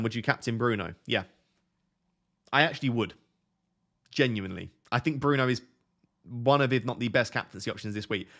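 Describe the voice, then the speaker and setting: A young man hosting a fantasy football live stream, reading viewer questions aloud and answering them in a relaxed, soothing voice. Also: medium pitch. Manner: self-described relaxing, soothing voice. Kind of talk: casual live stream chat.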